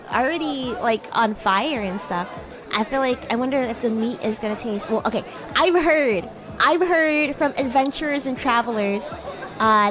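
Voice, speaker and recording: A woman livestreaming in character as a bratty, playful young demon classmate, chatting in a giggly way with drawn-out, hesitant words. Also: a little high. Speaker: VTuber streamer.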